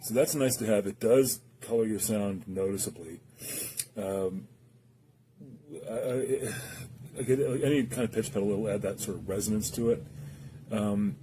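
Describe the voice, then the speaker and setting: Man, low voice, casual product reviewer, hesitant, thinking aloud, guitar music around the voice. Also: a little slow, pauses often, mellow. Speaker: gear reviewer demonstrating a guitar effects pedal. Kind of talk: casual, unscripted product demo talk.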